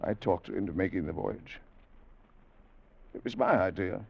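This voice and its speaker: An actor playing a grieving young sailor, speaking in halting, broken lines in 1940s radio drama style. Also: male voice, low voice, creaky, breathy edge, heavily acted. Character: grieving young male sailor Charlie, heartbroken. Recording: dull old radio recording.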